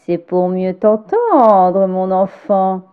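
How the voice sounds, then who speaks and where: The Big Bad Wolf, slow and sly, voiced by a woman. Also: mid-range voice, expressive, clear, resonant tone, phone-quality sound. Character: wicked, greedy male wolf. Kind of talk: storybook character voice.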